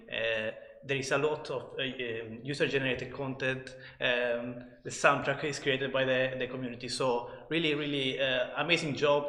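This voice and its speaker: A man working as a community manager, opening a live stream and speaking haltingly as he searches for words. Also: mid-range voice, a bit bright. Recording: echoey room with long reverb.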